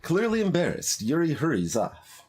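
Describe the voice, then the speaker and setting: Animated man narrating a game. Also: fairly high pitch, normal speed, slightly rough. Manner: expressive, reading aloud with off-the-cuff asides. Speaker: streamer doing a blind voiced playthrough. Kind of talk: game narration with casual commentary. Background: music.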